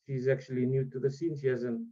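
A man in his thirties with a Fijian accent, giving halting, easygoing interview answers as a guest over a video call. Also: mid-range voice, steady tone, dark tone. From Rotuma, Fiji. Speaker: guest, music producer. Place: small room indoors, on a video call. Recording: dull video-call audio.

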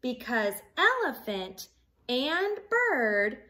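A kindly storybook mother rabbit played by a woman with a high, sing-song voice. She reassures slowly and emphatically, her pitch swooping widely. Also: big pitch swings, sharp tone, exaggerated voice. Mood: reassuring, cheerful.

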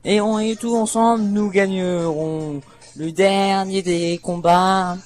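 A man slowly works through a cartoon theme, half singing and half reciting. His delivery is halting and self-conscious, with music behind him. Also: high-pitched, fairly even intonation.

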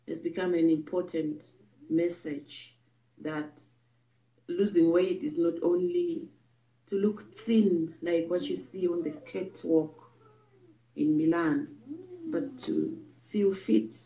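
Woman, forties, from Zimbabwe, earnest vlog talk, halting with ums, muffled roomy audio. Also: lowish voice, steady tone, mellow. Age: 40s. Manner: earnest, frequent ums and pauses. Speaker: vlogger and babywearing teacher. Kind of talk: personal storytelling to camera. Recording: muffled, echoey room audio.

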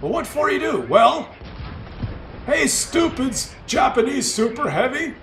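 Gleeful foreign-accented replay commentator, broken English, mocking, distant mic, faint noise. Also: male voice, very high-pitched, animated, measured pace, gravelly, fairly bright tone, reverberant, full character voice. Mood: excited, mocking. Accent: put-on foreign. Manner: broken grammar, exclaiming and gloating. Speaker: host. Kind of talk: comic commentary on a game replay.

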